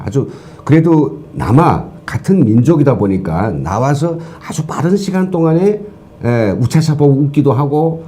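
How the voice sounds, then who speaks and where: A man's voice: medium pitch, dark tone. A man from Korea, a former professor giving earnest, lecture-like explanations as a talk show guest. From North Korea.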